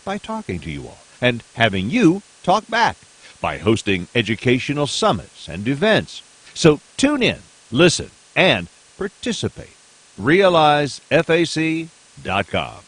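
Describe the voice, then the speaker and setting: Lively male promo announcer. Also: mid-range voice, a little slow, slightly rough, low-quality recording. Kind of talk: scripted radio promotional read.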